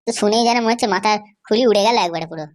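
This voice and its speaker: Woman as a brash, fast-talking cartoon kidnapper. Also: medium pitch, natural voice. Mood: impatient. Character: boastful, vengeful male kidnapper in a comedy cartoon. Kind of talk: amateur cartoon comedy dubbing.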